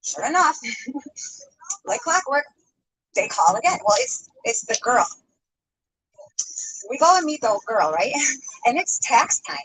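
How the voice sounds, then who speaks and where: A woman's voice: sits high, big pitch swings, takes it slowly, long pauses, a bit bright, rough recording. A woman telling a rambling story, hedging often and breaking into little laughs. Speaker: storytime YouTuber.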